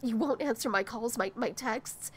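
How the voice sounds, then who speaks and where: A woman's voice: a little high, moderate pace, husky. A woman playing an anxious, remorseful woman in an audio drama, hesitant and pleading. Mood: pleading, defensive.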